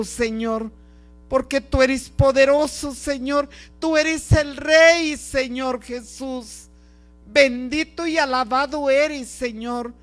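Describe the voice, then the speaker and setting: A prayer leader speaking a fervent, improvised devotional prayer in short, pleading, repeated phrases. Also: high-pitched. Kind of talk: fervent extemporaneous spoken prayer. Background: music.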